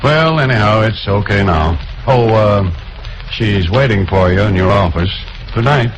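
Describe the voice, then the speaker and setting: Brisk newsroom boss, noisy recording. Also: male voice, on the low side, animated. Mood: matter-of-fact. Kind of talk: 1940s-50s radio crime drama acting. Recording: noisy old radio recording.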